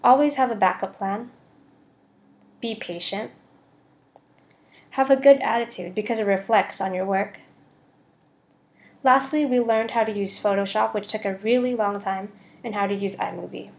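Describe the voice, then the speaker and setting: A woman's voice: medium pitch, moderate pace, creaky, pauses often. A young woman reading a scripted student presentation as a voice-over.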